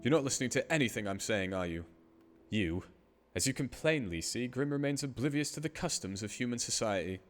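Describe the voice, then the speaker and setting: A man's voice: medium pitch, expressive, brisk, soft background music, natural voice. Man playing a pompous, theatrical headmaster. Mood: self-satisfied. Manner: grandiose, formal, melodramatic. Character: pompous, theatrical, self-congratulating male headmaster of a magic school. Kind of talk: anime fandub voice acting.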